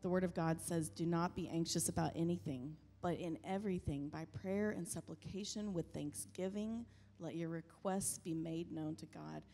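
Lady reading bible verses in church, mellow low voice, echoey hall. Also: vocal fry. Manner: calm, measured reading. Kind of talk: reading scripture in a sermon. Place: large church stage. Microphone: handheld mic. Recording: long reverb of a church hall, faint music.

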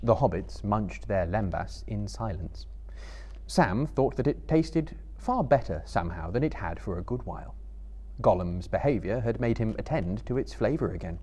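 A man's voice: lowish voice, expressive, normal speed, darkish tone, slightly rough. A man in his thirties giving a dramatic literary reading as a storyteller to a live audience. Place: book-lined room before a small live audience.

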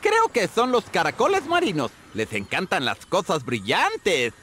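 A friendly, scatterbrained cartoon seagull. The voice is high and sharp and swings wildly up and down as it rambles and exclaims. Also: male voice, wildly swooping pitch, measured pace, a little hiss or hum, exaggerated voice. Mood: flustered, apologetic. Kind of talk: animated video game voice acting.

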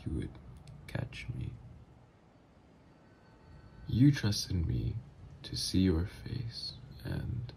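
Low slow creaky voice, guy doing a teasing psycho villain ex who keeps calling you babe. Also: background noise, exaggerated voice. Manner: teasing, calls listener babe. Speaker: roleplay voice actor. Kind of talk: ASMR roleplay audio.

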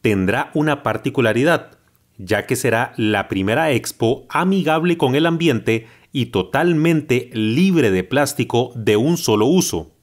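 A man's voice: mid-range voice, lively intonation. Male news reporter narrating. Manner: clear, measured newsreader delivery.

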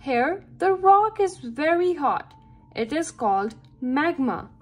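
A woman's voice: sits high, very animated, slow pace, darkish tone. A woman reading a children's science book aloud with careful phrasing meant for young listeners. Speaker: read-aloud reader for children.

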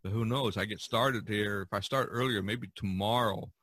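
A man's voice: fairly low pitch, normal speed, fairly bright tone, dry recording. A man hosting a casual podcast about art, talking in a rambling, chuckling way. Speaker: podcast host and painter.

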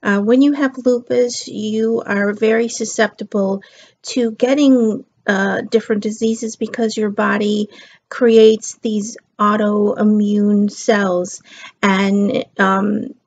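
A tired middle-aged woman with a slightly raspy voice, chatting casually on her couch into a webcam and drifting between topics. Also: medium pitch, little pitch movement, slow, darkish tone. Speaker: beauty and lifestyle vlogger. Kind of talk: casual chat to camera. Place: living room couch, indoors. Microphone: built-in webcam mic. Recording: dull lo-fi webcam audio.

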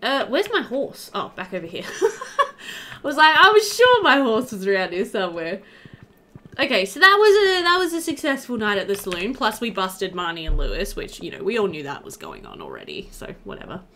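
A woman in her thirties giving casual, chatty let's-play commentary, animated and thinking aloud. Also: medium pitch, moderate pace, slight background noise. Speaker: gaming video host. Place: indoor room.

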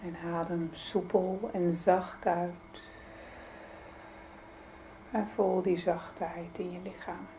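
A woman leading a guided yoga nidra meditation in a soothing, gentle, unhurried manner. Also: fairly low pitch, flat delivery, slight background noise, rough recording. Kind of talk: guided meditation, calm spoken instruction.

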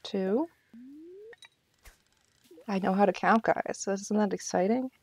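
A woman's voice: medium pitch, slow pace, a little mellow, no echo. A woman giving casual live commentary as she streams a game, playfully talking to herself and her viewers.

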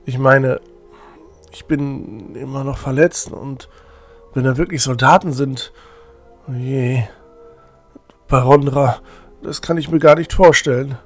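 Man acting a slow, timid young cleric. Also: mid-range voice, fairly even intonation, faint music behind. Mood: worried. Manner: hesitant, stammering. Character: timid, fretful young cleric of a war goddess. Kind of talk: fantasy audio drama acting.

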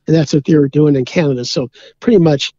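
A man's voice: on the high side, quick pace, dark tone, dry recording, muffled recording. A man from Minnesota, a beer brewer, chatting easygoingly as a podcast guest and filling his talk with "you know". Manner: rambling, easygoing, many "you know" fillers. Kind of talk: casual interview chat.

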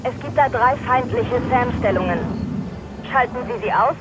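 A woman's voice: a little high, moderate pace, background noise. A woman voicing a dry, formal military radio operator at command, through a radio filter in a German-dubbed game. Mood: dry, official.

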